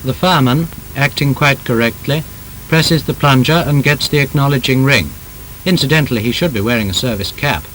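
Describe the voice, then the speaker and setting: A man with a British accent reads a scripted 1930s instructional film narration in a formal, emphatic, measured manner. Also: fairly bright tone. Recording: noisy old film soundtrack with rumble.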